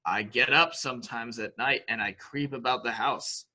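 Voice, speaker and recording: A man's voice: mid-range voice, normal speed, sharp tone. A man playing a childlike, dangerously unhinged killer in a murder mystery drama, boasting in a sing-song way with repeated phrases. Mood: smug, secretive. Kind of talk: amateur murder mystery audio drama acting.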